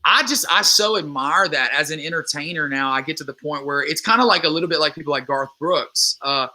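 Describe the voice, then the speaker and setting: A man in his twenties from Louisiana talks casually as a guest, rambling and earnest, circling back with restarts and fillers. His tone is strikingly bright and ringing. His voice sits a little high for a man. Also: fairly quick. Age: 20s. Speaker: guest musician. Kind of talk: casual interview chat.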